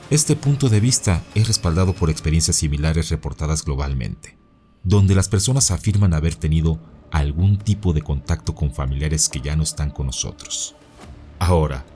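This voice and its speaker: Very deep male mystery narrator. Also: a little mellow. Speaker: narrator and host of paranormal mystery videos. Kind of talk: scripted narration of eerie mystery stories.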